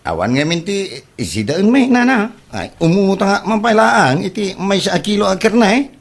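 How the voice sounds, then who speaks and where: A man's voice: high voice, big pitch swings, full character voice. A man with an Ilocano accent playing a boastful, broke braggart in a comedy audio drama, stammering through his excuses. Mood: sheepish, stalling. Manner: halting, hesitant, full of drawn-out stammers.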